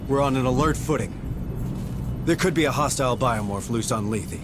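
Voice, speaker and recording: An actor playing a blunt, tough male Marine sergeant who has just been left in command, talking curtly over loud game music. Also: medium pitch, moderate pace, natural voice. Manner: blunt, curt, profane.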